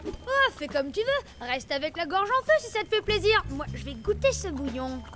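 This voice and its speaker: A cheeky school-age child in a kids' cartoon. The voice is very high and animated, full of pluck, with music underneath. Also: female voice, normal speed, exaggerated voice. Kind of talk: French children's cartoon acting.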